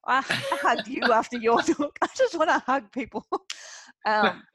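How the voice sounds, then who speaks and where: Brief: woman host, animated and chatty, higher voice, dry close sound. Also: a little slow, husky, dry recording. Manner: animated and chatty, many fillers. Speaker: podcast host. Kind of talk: casual interview chat.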